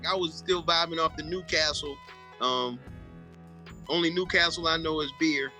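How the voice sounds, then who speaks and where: A laid-back man co-hosts a podcast, chiming in with short, agreeing remarks. His voice is very bright and sharp, and sits a little higher than most. Faint music plays under the conversation. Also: steady tone, moderate pace. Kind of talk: casual podcast chat.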